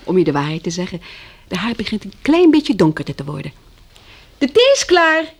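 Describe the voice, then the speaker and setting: An actress playing a caring, practical farm wife in a Dutch radio play, gentle and motherly. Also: mid-range voice, big pitch swings, fairly quick, husky. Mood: busy, motherly. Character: caring, busy farm wife Josella, expecting.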